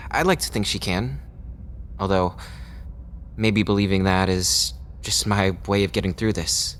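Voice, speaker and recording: An actor playing an easygoing lieutenant in an audio drama, a loyal friend with a wry, casual, needling manner. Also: male voice, fairly low pitch, unhurried, a bit bright, slight background noise. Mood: wistful.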